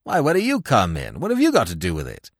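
A man's voice: medium pitch, wildly swooping pitch, fast, no echo, studio quality, pitched below the performer's own voice. A man voicing a carefree, foppish young upper-class man who giggles and chatters in breezy slang. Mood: chirpy.

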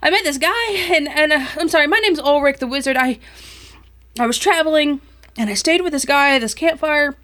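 A woman's voice: sits high, fairly quick, bright, forceful tone, voice pushed up. A woman voicing a flustered, naked middle-aged male wizard who stammers apologies.

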